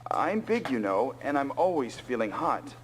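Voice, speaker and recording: A man's voice: mid-range voice, sing-song, moderate pace, natural voice. Man acting big easygoing soldier, lilting delivery. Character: big easygoing male soldier pilot from cold country. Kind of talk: anime-style English video game dub.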